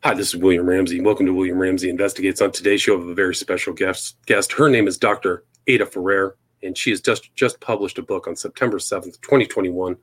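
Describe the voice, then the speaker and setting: A man's voice: low-pitched, steady tone, fast, few pauses. A man speaking as a podcast host and interviewer. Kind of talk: hosting an interview, introducing a guest.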